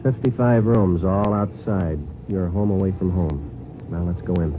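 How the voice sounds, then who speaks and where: A man playing a terse, deadpan police sergeant in a 1940s radio detective drama, speaking in clipped, businesslike lines. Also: sits low, unhurried, noisy background. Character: terse, deadpan male police sergeant, polite but dogged. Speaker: actor in a radio detective series. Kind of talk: 1940s radio acting, terse narration and dialogue. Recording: tinny 1949 radio recording, faint music.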